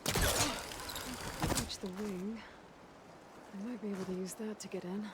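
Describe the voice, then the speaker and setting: A woman voicing a focused young adventurer in a video game, muttering quietly to herself. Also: medium pitch, background noise, lightly acted. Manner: hushed, quick self-talk. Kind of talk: video game character voice acting. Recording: game audio with loud ambient sound.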